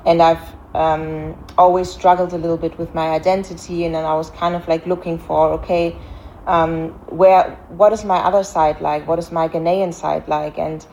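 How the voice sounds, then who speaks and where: A woman with a slightly low voice speaks in an interview, feeling her way through each answer. She fills her pauses with soft fillers and often restarts mid-sentence. A faint hiss of noise sits under her voice. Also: little pitch movement, poor audio. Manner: hesitant, frequent fillers and restarts. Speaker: interviewee, farm founder.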